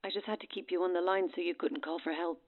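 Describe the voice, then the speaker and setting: An actress playing a secretive, outwardly concerned friend, through a telephone filter, in a naturalistic horror audio drama. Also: female voice, lowish voice, steady tone, fast, noisy background. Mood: quiet, cold. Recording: thin, narrow phone line.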